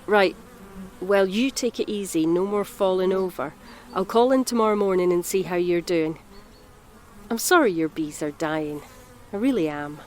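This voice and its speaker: A woman playing a practical, kindly council carer in an audio drama, speaking in a gentle, coaxing, matter-of-fact way. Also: lowish voice, normal speed, lightly acted. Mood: kindly, brisk.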